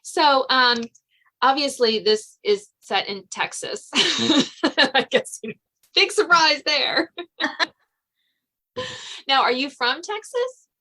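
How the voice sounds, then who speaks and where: Bright-voiced woman hosting a podcast. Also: high voice, lively intonation, slow, pauses often. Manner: laughs often, chatty. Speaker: podcast host. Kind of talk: casual interview chat.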